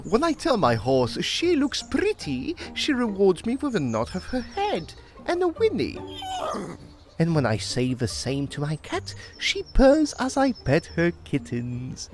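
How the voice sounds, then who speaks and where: A woman voicing a naive, simple-minded young man who rambles earnestly and bursts into excited outbursts, in an old-time radio drama. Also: medium pitch, measured pace, soft background music. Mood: rambling. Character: naive, simple-minded young male suitor, cheerful and eager.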